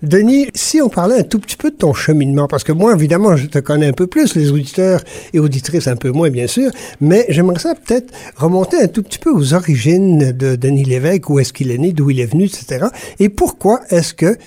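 Mellow higher-pitched male interviewer. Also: sing-song, fairly quick. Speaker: producer acting as interviewer. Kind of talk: radio-style interview.

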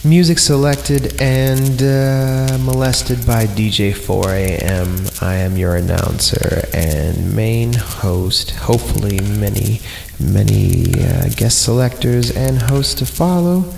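A man hosting a horror podcast, reading creepy stories aloud in an irreverent, halting way and stumbling over the text. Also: fairly low pitch, gravelly, noisy background. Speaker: podcast host and announcer. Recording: long reverb on voice, music underneath. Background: eerie horror film score.

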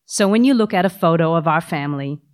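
A woman's voice: on the low side, lively intonation, fast. A woman, who has the flu, telling a personal story with wry humor to a live audience. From Australia. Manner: wry, self-deprecating humor.

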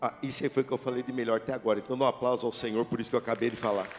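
A middle-aged man preaching a sermon to his church, dramatic, rising to shouts at the peaks and joking with the congregation. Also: medium pitch, steady tone, rapid-fire, some chatter behind. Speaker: pastor. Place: church sanctuary. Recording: echoey church hall with music.

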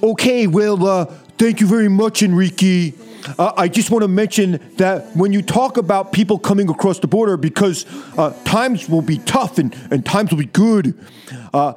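A man playing a bombastic, boastful American president in a comedic reading, halting and repeating himself. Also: high voice, a little mellow, soft background music, close to an everyday voice. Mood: boastful, insistent. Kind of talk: comedic dramatic reading over music.

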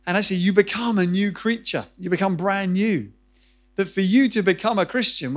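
A man preaching a sermon to his church, earnest and explanatory, then introducing guests for an interview. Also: a little high.

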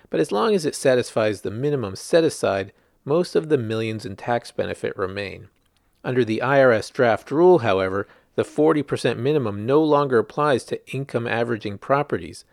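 A man's voice: mid-range voice, gravelly, no echo, clean studio sound. A man, a journalist, reading a written news article aloud as scripted narration.

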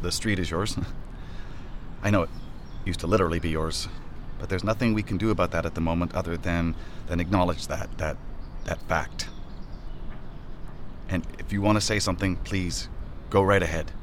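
An actor in a satirical audio drama playing a wry, awkward, wisecracking man who runs an office, speaking in a halting, flippant way. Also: low voice, fairly even intonation, slight background noise, close to an everyday voice. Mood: awkward, hesitant.

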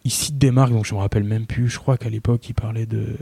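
A man's voice: on the low side, fairly even intonation, quick pace, gravelly, warm tone, breathy edge, no room sound. A man in his thirties, chatting casually and telling a self-mocking story. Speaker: guest. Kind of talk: casual podcast conversation.

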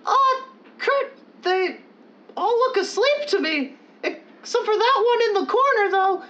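Woman playing a very high, squeaky timid boy sidekick. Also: animated, a little slow, fairly bright tone, lo-fi sound, heavily acted. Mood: nervous. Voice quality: squeaky, childlike. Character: squeaky timid young boy sidekick, earnest and easily alarmed. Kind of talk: old-time radio adventure parody acting.

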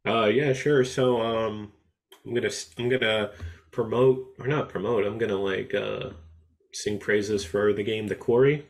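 A man co-hosting a podcast in casual chat, laid-back and halting, often pausing on "um". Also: on the low side, steady tone. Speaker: podcast co-host.

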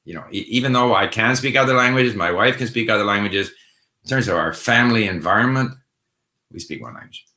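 A man's voice: fairly low pitch, brisk, bright, forceful tone. A middle-aged man hosting a casual language-learning question session, talking in a thoughtful, conversational way with frequent fillers and restarts. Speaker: language-learning host answering viewer questions. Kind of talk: casual live Q&A. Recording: roomy echo.

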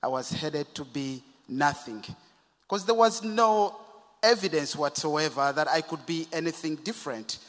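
A man from Uganda gives a reflective personal testimony to a church congregation, his voice a touch higher than most men's. A long church-hall reverb trails after each phrase. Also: a little slow, fairly bright tone. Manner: reflective, speaks to the audience directly. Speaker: guest telling his life story. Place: church service.

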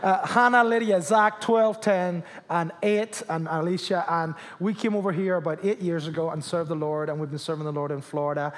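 A man, a guest pastor preaching a jokey, animated sermon to a church crowd. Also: a little high, normal speed, few pauses. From Spain, Canary Islands. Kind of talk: sermon with humor. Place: church hall with audience. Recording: long reverb of a large hall.

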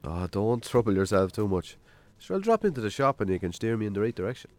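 An actor with an Irish accent playing a gruff, shy, wry single farmer in a naturalistic radio drama. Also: male voice, lowish voice, very animated, fast, dry recording. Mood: shy, friendly.

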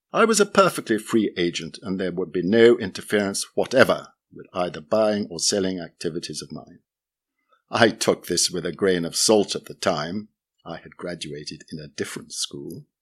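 Low-voiced man reading a memoir. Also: slightly rough. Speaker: reader of a memoir chapter.

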